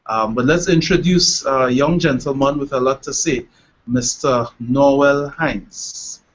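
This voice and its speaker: A man with a Trinidadian accent hosts an online panel, introducing people in a chatty, halting, informal way. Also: medium pitch. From Trinidad and Tobago. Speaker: panel host. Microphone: online video call. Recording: dull lo-fi web stream.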